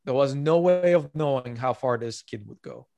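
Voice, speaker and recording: A man's voice: mid-range voice, normal speed, creaky, a little mellow. A man who is not a native English speaker, talking earnestly as an author guest on a podcast and repeating his key points. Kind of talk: podcast interview.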